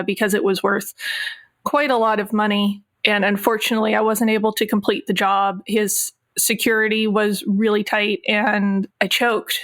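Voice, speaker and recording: A woman's voice: mid-range voice, a bit bright. A woman chatting casually as a player in a tabletop game. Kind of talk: casual chat about a game character.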